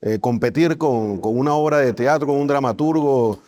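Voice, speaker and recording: A man's voice: mid-range voice, normal speed, mellow. A man hosting a podcast interview in a conversational style, rephrasing as he forms his questions.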